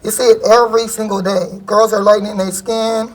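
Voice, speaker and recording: A young woman speaks her mind on a panel in a casual, slangy way. Her voice sits at a middle pitch, and she talks frankly and directly. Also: flat delivery, fairly quick, rough recording.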